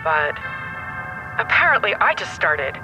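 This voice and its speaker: A woman playing a dramatic leading-lady actress, telling her story earnestly and haltingly in a TV interview. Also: lowish voice, very animated, music in the background, poor audio. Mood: insistent, mysterious. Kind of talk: TV talk show interview acting in audio drama.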